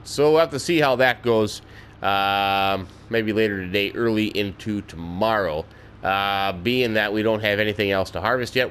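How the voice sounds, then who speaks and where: A man's voice: fairly low pitch, fairly bright tone, slight background noise. A man casually narrating his farming game as a let's play host, folksy and chatty with mild exclamations, a little stuffed up in the nose. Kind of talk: casual gameplay commentary.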